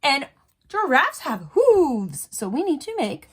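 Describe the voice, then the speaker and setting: A woman's voice: sits high, big pitch swings, slow pace, a bit bright. A woman art teacher talking young children through a step-by-step drawing tutorial in simple, encouraging phrases. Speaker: elementary school art teacher.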